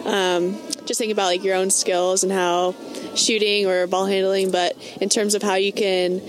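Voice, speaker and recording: A woman's voice: medium pitch, flat delivery, moderate pace, low-quality recording. A woman basketball player answering interview questions thoughtfully, with hesitant fillers, outdoors. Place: outdoor event stage. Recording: background noise.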